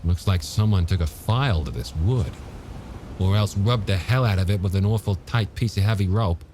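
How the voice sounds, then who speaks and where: A man voicing a steady, grimly practical male police chief in an audiobook, blunt and low-key. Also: moderate pace, slight background noise, lightly acted. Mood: thoughtful.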